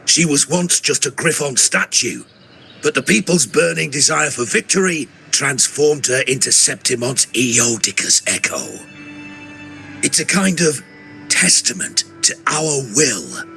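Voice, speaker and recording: A man playing a proud, reverent warrior in a video game, telling his land's lore in a measured, earnest way. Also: mid-range voice, lively intonation, vocal fry, bright, forceful tone, soft background music, heavily acted.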